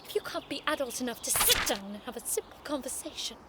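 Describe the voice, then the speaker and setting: An actress playing a prim, sharp-tongued young noblewoman in an audio drama, formal, arch and sarcastic. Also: high-pitched, expressive, fast, heavy background noise. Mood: angry, hurt.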